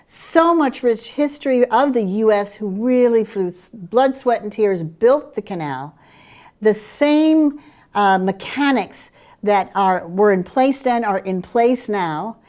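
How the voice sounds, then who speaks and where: A middle-aged woman from Curaçao with a Dutch Caribbean accent, presenting group trips in an eager sales pitch. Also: expressive, slow, darkish tone. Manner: enthusiastic sales pitch with fillers. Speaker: travel program presenter. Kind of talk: promotional chat about group tours.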